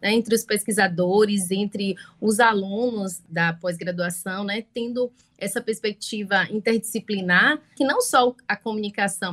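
This is a woman with a mid-pitched voice and a Bahian Brazilian accent. She speaks as an academic guest giving an interview answer. She keeps checking in with short tag questions between phrases. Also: fairly bright tone. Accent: Bahian Brazilian Portuguese. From Salvador, Bahia, Brazil. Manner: frequent tag questions seeking agreement.

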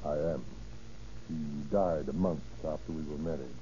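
An actor playing a courteous, melancholy, hesitant rich widower in a 1930s radio drama. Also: male voice, a bass voice, normal speed, mellow. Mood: mournful. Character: brooding, polite wealthy widower haunted by loss. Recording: muffled, noisy old radio recording.

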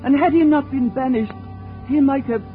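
Impassioned grieving sibling, high voice, old radio play, narrow tinny recording, music behind. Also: male voice, normal speed. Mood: bitter grief. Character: impassioned loyal supporter, grieving for a sister. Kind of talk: old-time radio drama acting.

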